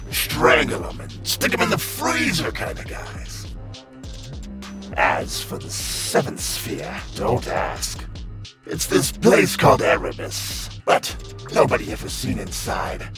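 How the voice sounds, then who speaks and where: A wisecracking demon hustler from a comic-book dub. His lowish voice swings wildly up and down as he draws out his slangy, theatrical pitch. Loud music plays underneath. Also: creaky, heavily acted. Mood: brash, teasing, then sly, boastful. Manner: slangy, glib, theatrical sales patter. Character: wisecracking sleazy demon guide of hell, male salesman type. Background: prominent music.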